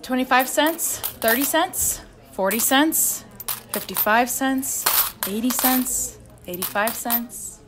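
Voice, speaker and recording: A tired, sarcastic young-sounding barista in a radio comedy, played by a woman. Her voice is fairly high and her manner deadpan. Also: takes it slowly, background noise. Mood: weary. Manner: deadpan, exasperated. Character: weary, sarcastic female coffee shop barista. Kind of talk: amateur radio play acting.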